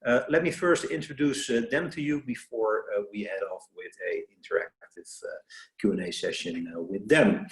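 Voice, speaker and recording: A man from the Netherlands with a Dutch accent and a fairly low voice. He moderates an online panel in a semi-formal style, hesitating and breaking his sentences into short phrases. The room around him sounds echoey. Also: normal speed. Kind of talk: spoken webinar introduction, semi-formal. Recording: roomy, echoing webinar audio.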